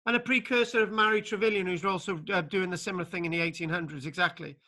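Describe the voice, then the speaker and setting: High bright voice, guy running a video call lecture, stumbles over his words a lot. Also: fairly even intonation, fast talker. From Wales. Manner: stammering, repeats words, many fillers. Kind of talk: online lecture with discussion.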